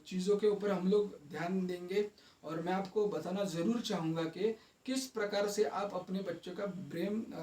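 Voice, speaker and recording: A man with an Indian accent gives an informal explainer to viewers, halting at times and mixing in English terms. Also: high-pitched, dark tone. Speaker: presenter of a parenting and child development video. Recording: echoey room, distant microphone.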